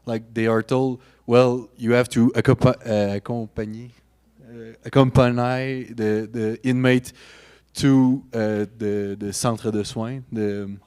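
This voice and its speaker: A man whose first language is French, a graduate student on a conference panel, answering lightly and mocking himself with quick laughs. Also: mid-range voice, little pitch movement, a little mellow, clean tone. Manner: light, self-mocking, laughs between points. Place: conference room at a panel session.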